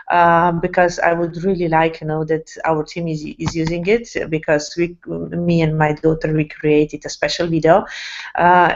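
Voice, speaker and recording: A woman's voice: fairly low pitch, muffled recording. A woman speaking English as a second language, giving an online sales team presentation with many fillers and restarts and an enthusiastic manner. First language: not English. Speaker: network marketing presenter.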